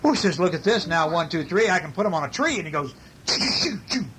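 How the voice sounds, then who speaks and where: Animated man reading playfully to small children. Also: on the high side, racing, noisy background. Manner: playful, with sound effects and questions to the children. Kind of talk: animated picture-book reading to toddlers, chatty.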